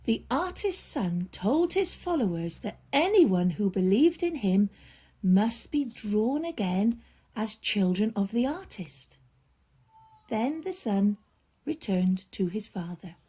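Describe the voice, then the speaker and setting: Slow, soft-toned woman reading a bedtime story. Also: mid-range voice, husky. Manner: expressive, with drawn-out emphasis and hushed drops. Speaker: children's storyteller. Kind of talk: bedtime story read aloud to children.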